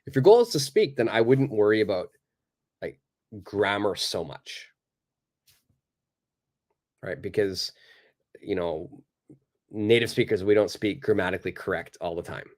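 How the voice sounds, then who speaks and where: Guy teaching english on a livestream, kinda low voice, easygoing, lots of uh and you know. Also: a little slow, pauses often. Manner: relaxed and conversational, many fillers, acts out little examples. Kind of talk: live-streamed lesson answering viewer questions.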